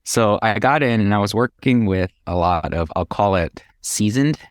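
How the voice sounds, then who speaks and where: A man's voice: lowish voice, lively intonation, normal speed, no room sound, studio quality. A middle-aged man with an American accent chatting casually as an interview guest, telling his own story in a conversational way. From Hawaii, United States. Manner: conversational, chuckles while talking. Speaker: podcast guest, real estate investor.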